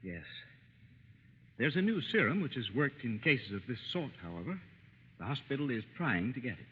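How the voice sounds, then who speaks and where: An actor playing a grave, measured hospital doctor in 1940s radio drama style. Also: male voice, medium pitch, big pitch swings, husky. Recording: tinny, echoey, hissy vintage radio recording.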